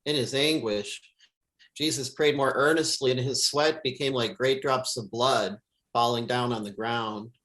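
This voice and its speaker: A man reads scripture as a pastor would, in a solemn, measured and deliberate liturgical style. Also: medium pitch, steady tone, fairly bright tone, poor audio.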